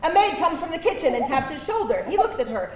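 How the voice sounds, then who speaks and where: A woman's voice: high-pitched, big pitch swings, fast talker, slight background noise. A woman narrator reading screenplay stage directions with theatrical emphasis at a live table read. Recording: echoey hall with loud audience.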